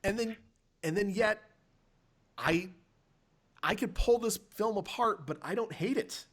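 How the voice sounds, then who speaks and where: A man's voice: high-pitched, unhurried. A man hosting a podcast in a casual film review conversation, thinking aloud with restarts as he goes. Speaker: podcast host.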